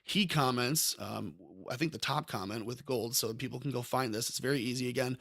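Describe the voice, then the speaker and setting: Male host, mid-pitched, meandering political commentary in a dead-dry room. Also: a little fast, vocal fry, fairly bright tone, no echo. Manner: rambling, frequent ums and self-corrections. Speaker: podcast host.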